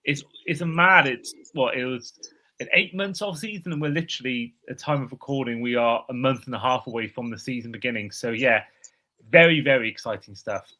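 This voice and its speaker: A man hosting a football podcast, excitable and stammering a little at the start of phrases. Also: mid-range voice, fairly quick, poor audio. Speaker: podcast host. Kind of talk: casual podcast chat.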